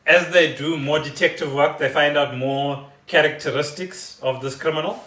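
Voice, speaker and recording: A man's voice: a little high, fairly even intonation, moderate pace, sharp tone. A South African man co-hosting a podcast in casual chat, explaining things in a rambling way. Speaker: podcast co-host. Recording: echoey room, distant mic.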